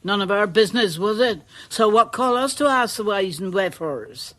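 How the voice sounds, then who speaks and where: An actress playing a superstitious, deferential village charwoman in a radio drama, with a rural English accent and a halting, folksy way of talking. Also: mid-range voice, animated, a bit bright, natural voice. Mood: hushed storytelling.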